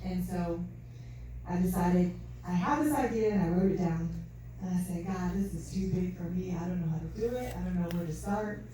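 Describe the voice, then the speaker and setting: A soft-spoken woman giving an earnest, faith-filled talk at an event, recorded from a distance in a large hall. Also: fairly low pitch, moderate pace. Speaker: event speaker. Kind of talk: testimony talk. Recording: distant mic, echoey hall, faint noise.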